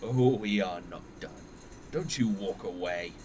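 A man's voice: fairly low pitch, unhurried, darkish tone, slight background noise, close to an everyday voice. An actor playing a protective, combative older man in an audio drama, urgent and confrontational. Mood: defiant.

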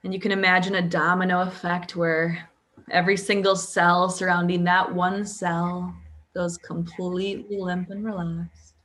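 A woman guiding a sleep hypnosis session as a hypnotherapist, calm, with drawn-out pauses and audible breaths. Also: on the low side. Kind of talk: guided sleep hypnosis, soothing relaxation script.

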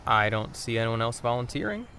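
Wry, expressive teenage boy. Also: medium pitch, brisk, vocal fry, a little hiss or hum, exaggerated voice. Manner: wry, questioning, sardonic. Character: sceptical, cautious, sardonic teenage boy, reluctant companion. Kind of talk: naturalistic audio drama acting.

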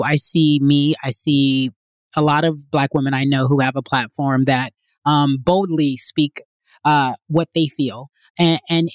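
A woman's voice: low voice, no room sound. A woman hosting an interview, chatty and affirming, often backing up her guest. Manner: warm, chatty, affirming with frequent uh-huhs. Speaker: interview host. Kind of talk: conversational interview.

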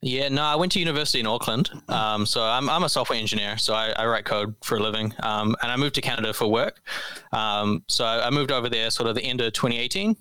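A man's voice: medium pitch, quick pace, bright, forceful tone, clean tone, dry recording, poor audio. A young man, answering interview questions in an easygoing, modest way with many ums. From New Zealand. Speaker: podcast guest.

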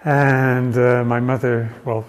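A man's voice: mid-range voice, flat delivery, slow. An older man casually reminiscing inside a large hall of a house. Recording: echoey hall, long reverb.

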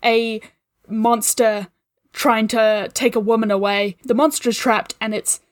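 A woman's voice: fairly high pitch, fairly even intonation, measured pace, dry recording, clean studio sound. A woman guesting on a casual film discussion podcast, giving appreciative, opinionated commentary.

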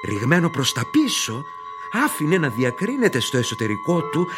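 A brooding storyteller, played by a man, tells a horror tale for a radio play. The voice sits fairly high and swings widely in pitch, pausing for suspense, with prominent music underneath. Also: huge pitch swings. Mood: awed, ominous. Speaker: narrator.

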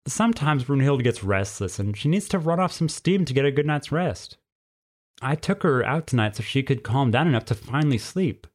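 Man voicing a folksy cougar lady, pitched up. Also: animated, moderate pace, close to an everyday voice. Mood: matter-of-fact. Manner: folksy, plainspoken country turns of phrase. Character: stern, folksy female cougar guarding a secret. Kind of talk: storybook character voice.

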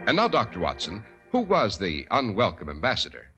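A man's voice: fairly low pitch, wildly swooping pitch, brisk, over music. A man working as a radio announcer, with a polished, formal 1940s broadcast delivery.

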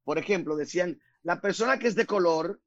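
A man from the Republic, speaking as a guest on a radio talk show, earnestly explaining his ideas and slowing down to stress points. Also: high-pitched, dry recording, dull audio. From Dominican Republic.

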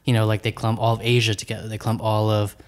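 Flat-voiced man, calmly agreeing. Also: lowish voice, quick pace. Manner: even, agreeable, brief backchannels. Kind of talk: casual conversation.

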